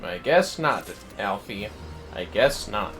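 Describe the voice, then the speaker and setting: A man's voice: lowish voice, animated, slow, a bit bright, faint music behind. A man giving casual, playful livestream commentary on a video game, muttering asides to himself.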